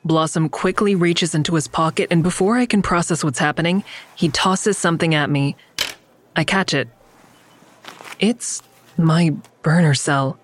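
An actress playing an anxious, earnest crisis counselor, telling her story in a hesitant, introspective first-person style for an audio drama. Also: lowish voice. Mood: anxious narration.

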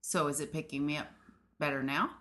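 A woman's voice: low voice, steady tone, fairly quick, a bit bright. A woman guesting on a podcast in casual banter, playful, laughing often and arguing cheekily.

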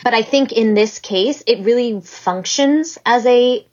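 A woman's voice: fairly high pitch, unhurried, darkish tone. Enthusiastic chatty woman, lo-fi. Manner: enthusiastic, chatty, many fillers. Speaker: guest, staff writer. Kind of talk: casual chat.

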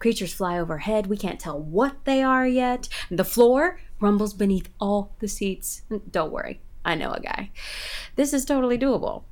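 A woman's voice: mid-range voice, expressive, moderate pace, darkish tone, lightly acted. A woman playing a confident avant-garde director-choreographer who speaks in a dramatic, rhapsodic way. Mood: grandiose. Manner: dramatic, self-assured, rhapsodic. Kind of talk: scripted audio comedy acting.

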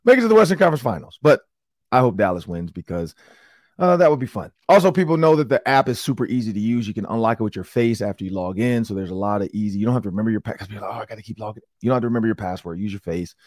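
A middle-aged man with an Upper Midwest American accent hosts a sports talk podcast, his voice hoarse and raspy from yelling, and he tells stories in a rambling, excitable way. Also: on the low side, very fast, no echo, clean studio sound. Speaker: sports talk show host. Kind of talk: casual podcast chat.

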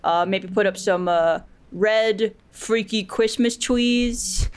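A performer voicing a smug, petty male demon president in a put-on accent, with a halting, boastful delivery, in an improvised comedy. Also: medium pitch, smooth, lightly acted. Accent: put-on character accent. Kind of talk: improvised comedy podcast acting.

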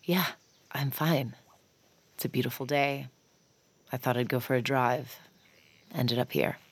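Guarded, dryly wry daughter for intimate audio drama, a low register and gravelly fry, understated delivery. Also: female voice, fairly even intonation, a little slow, no echo, heavily acted. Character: guarded, wry adult daughter, a recovering addict longing for closeness. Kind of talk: naturalistic audio drama acting with confiding narration.

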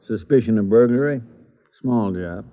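A man's voice: on the low side, normal speed, natural voice. A man playing a casual station police officer in a 1940s radio drama, terse and informal. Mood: matter-of-fact. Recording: dull, noisy old radio recording.

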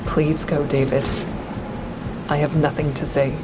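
An actress playing a bitter, grieving mother who is cold and unforgiving toward her ex-husband, in an audio drama with intense, deliberate delivery. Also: deep voice, level tone, over loud music. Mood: curt, guarded. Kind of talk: full-cast audio drama, first-person narration and dialogue. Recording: clearly noisy outdoor traffic ambience.

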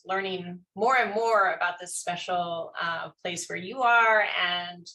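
Bright-voiced woman hosting a chat. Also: lowish voice, steady tone, unhurried, poor audio. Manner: rambling, many fillers and restarts. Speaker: host. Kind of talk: casual online panel chat.

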